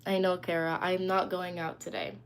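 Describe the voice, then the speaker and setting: A woman's voice: lowish voice, flat delivery, normal speed, a little hiss or hum. Chatty young woman livestreaming from her phone. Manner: chatty, reads and answers comments. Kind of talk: casual live stream chat. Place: indoors at home.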